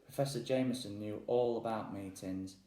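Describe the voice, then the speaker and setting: Male children's story reader, low-voiced and mellow, recorded in a roomy space away from the mic. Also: steady tone, moderate pace. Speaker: audiobook reader. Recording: roomy echo, distant microphone.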